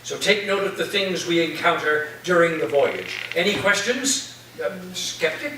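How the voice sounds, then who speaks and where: Man as commanding eccentric professor, formal, theatrical, fairly high voice, recorded far from the mic with reverberation. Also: normal speed, pushed and bright. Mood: commanding, earnest. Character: eccentric, commanding male professor acting as submarine captain. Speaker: actor in a staged play reading. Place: live staged reading in a reverberant room. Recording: echoey room, distant mic, faint noise.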